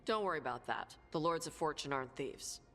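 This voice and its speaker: A woman playing a blunt, laconic young dragon hunter and treasure seeker in a video game, talking gruffly and informally. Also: low-pitched, expressive, brisk, fairly bright tone. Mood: matter-of-fact. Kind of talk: video game dialogue acting. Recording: music under the dialogue. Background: game music.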